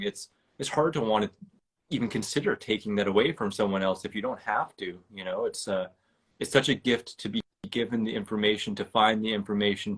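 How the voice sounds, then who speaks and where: A man's voice: on the low side, fairly bright tone. A man hosting an interview, talking in an earnest, rambling and enthusiastic way. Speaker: podcast host. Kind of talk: casual interview chat.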